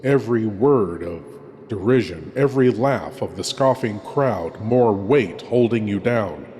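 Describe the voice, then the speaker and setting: An ominous, lowish-voiced horror host, performed by a man, speaking very slowly and solemnly. The theatrical monologue rings in a long cavernous reverb with faint noise. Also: lively intonation, dark tone, faint music behind. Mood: brooding, ominous. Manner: slow, solemn, dramatic.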